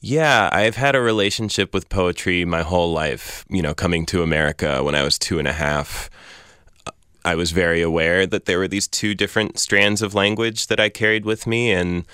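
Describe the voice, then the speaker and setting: A man from Iran with a very deep, smooth voice talks as a poet guest in a reflective interview. He chooses his words with care, pausing as he searches, and the sound is close and dry. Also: no room sound. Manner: thoughtful, searching phrasing with soft pauses.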